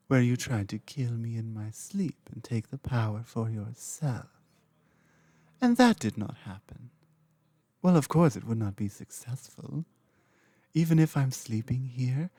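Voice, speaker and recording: An ancient dragon queen, played by a woman, speaks slowly and deliberately in a warm, mellow voice. Her phrasing is formal and commanding, and at times it softens into a whisper. The ASMR recording is dry and close. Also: a bass voice, no room sound, exaggerated voice. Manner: slow, measured, formal speech with soft whispered moments. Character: ancient regal female dragon queen, calm and dominant. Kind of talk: ASMR roleplay audio.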